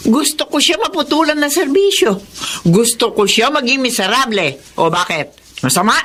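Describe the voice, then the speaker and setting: A woman's voice: high-pitched, animated, takes it slowly, long pauses, a bit bright, heavily acted. Brazen, spiteful Filipino woman neighbour. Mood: scornful, gloating. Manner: brazen, scornful. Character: spiteful, shameless woman neighbour, scheming and unrepentant. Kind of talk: Filipino radio drama acting.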